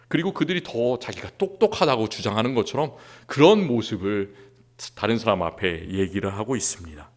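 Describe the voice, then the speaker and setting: A male pastor from Seoul preaching a sermon in a church, earnest, rising to emphasis and dropping quiet again. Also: medium pitch. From Seoul, South Korea. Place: church sanctuary.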